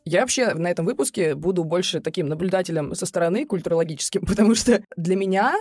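Fast-talking young woman, slangy and informal. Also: lowish voice, over music. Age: young adult. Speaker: podcast co-host. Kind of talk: casual chat.

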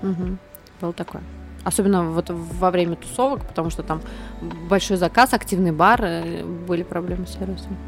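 Young woman, rapid speech, lowish voice, earnest, a little shy, faint music behind. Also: fairly even intonation. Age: young adult. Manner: earnest, a bit hesitant, self-described shy. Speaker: guest, city guide blogger. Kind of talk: casual podcast conversation.

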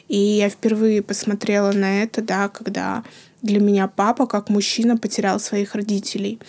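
A woman guest on a podcast, speaking slowly and earnestly, with phrase ends trailing into a whisper. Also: medium pitch, vocal fry, a little mellow. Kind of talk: reflective podcast conversation.

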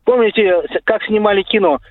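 A man's voice: high-pitched, fairly even intonation, fast talker, no room sound. Male radio caller on a thin, dry phone connection, casually reminiscing. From Saint Petersburg, Russia. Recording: tinny, narrow phone line.

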